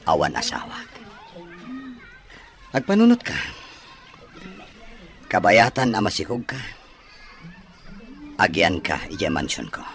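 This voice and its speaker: A man's voice: on the low side, wildly swooping pitch, slow pace, vocal fry, pauses often, fairly bright tone, full character voice. An actor playing a rich unmarried man in a radio drama, coaxing and insistent. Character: rich unmarried man pressing a woman to bear his child.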